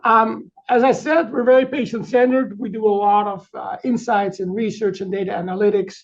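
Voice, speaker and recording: Middle-aged man, Dutch accent, high voice, halting webinar talk, muffled audio. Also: steady tone, creaky. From Netherlands. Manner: halting, with many fillers and restarts. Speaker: chief marketing officer presenting. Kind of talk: webinar presentation.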